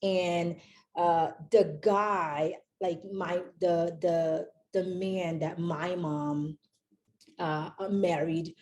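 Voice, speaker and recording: A woman's voice: lowish voice, fairly even intonation, drawn-out words, a little mellow, a little hiss or hum. A young woman from Haiti, a company founder, chatting as a podcast guest and rambling with many fillers and restarts. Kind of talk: casual interview chat.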